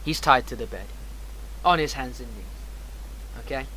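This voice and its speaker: A man's voice: medium pitch, moderate pace, bright, forceful tone, slight background noise, rough recording. A man casually telling a personal story as a streamer, in an emphatic, slangy, heavily profane way.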